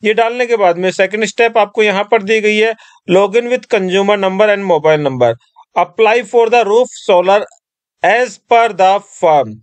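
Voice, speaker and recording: A man with a high, clear voice walks the listener through an online application one step at a time. He keeps it instructive and calls his viewers friends. The sound is dry and close, recorded at a desk mic in a quiet room. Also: takes it slowly, no room sound. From Rajasthan. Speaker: tutorial presenter. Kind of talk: screen-recorded tutorial voice-over.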